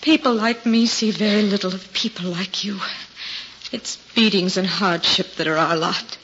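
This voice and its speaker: A woman's voice: mid-range voice, moderate pace, a bit bright, close to an everyday voice. A woman playing a destitute soldier's wife in a radio drama, plaintive, breathless and humbly grateful. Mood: tearfully grateful. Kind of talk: 1940s radio drama acting. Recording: muffled, noisy 1940s radio recording.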